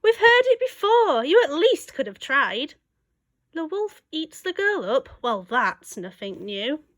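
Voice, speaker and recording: A woman's voice: sits high, very animated, takes it slowly, fairly bright tone, dry recording, voice pushed up, heavily acted. A woman voicing an exasperated, opinionated woman librarian from a storybook, with a dramatic, complaining delivery.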